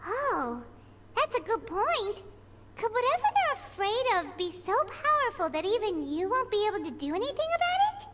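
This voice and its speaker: A woman voicing a small, excitable, childlike fairy companion in an anime video game, speaking of herself in the third person. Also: very high-pitched, moderate pace, very noisy. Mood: curious. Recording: game audio under loud music. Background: loud game music.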